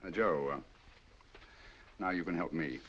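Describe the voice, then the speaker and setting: An actor playing a sharp, cool-headed English detective with crisp, measured, lightly ironic speech, in a 1940s film. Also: male voice, sits low, dull audio, slight background noise.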